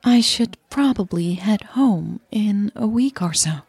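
Woman voicing a shy, playful girlfriend, higher pitched. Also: lively intonation, a little slow, a little mellow, slightly rough, no echo, heavily acted. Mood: earnest, uneasy. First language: Dutch.

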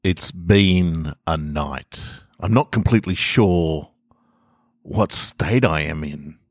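Low-voiced man hosting a storytelling podcast. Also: takes it slowly, dry recording, clean studio sound. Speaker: podcast host and author reading own stories. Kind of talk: casual podcast intro, then narrated short stories.

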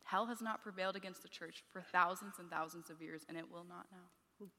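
Bright-voiced woman cohost. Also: lowish voice, fairly even intonation. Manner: measured, affirming, quick "yeah"s. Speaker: podcast cohost. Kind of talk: live podcast conversation. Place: conference stage with hall. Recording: live hall reverb.

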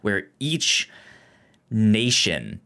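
A man co-hosting a casual film discussion podcast, speaking haltingly and often stammering or repeating words. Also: on the low side, huge pitch swings, creaky, bright, forceful tone. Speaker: podcast co-host discussing films.

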